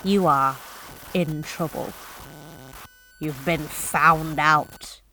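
An actress playing a delivery driver who tells a horror story in the first person, wry and confiding. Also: female voice, deep voice, drawn-out words, pushed and bright, a little hiss or hum, heavily acted, poor audio. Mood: uneasy. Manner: wry, confiding, self-deprecating. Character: wry, uneasy woman courier.

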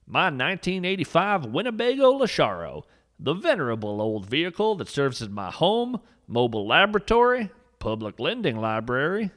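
A man's voice: a little high, fairly bright tone. A man narrating a scripted story with dry, mock-serious humor.

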